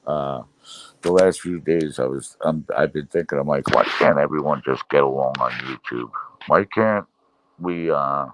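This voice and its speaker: A man's voice: rumbling low, measured pace, fairly bright tone, no room sound, poor audio. A middle-aged man with a Brooklyn New York accent, chatting casually on a livestream, reading the chat and rambling with frequent laughs. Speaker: livestream host.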